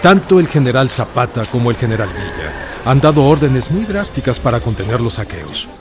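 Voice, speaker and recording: An actor playing a modest, composed army general in a radio drama, formal and measured. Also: male voice, mid-range voice, sing-song, over music, close to an everyday voice. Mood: composed, firm. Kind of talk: Spanish-language historical radio drama acting. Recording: clear outdoor street noise behind.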